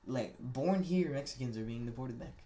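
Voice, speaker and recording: A young man co-hosting a podcast, debating in casual chat, talking quickly with frequent restarts and pressing his points. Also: medium pitch, animated, warm tone. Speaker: podcast co-host.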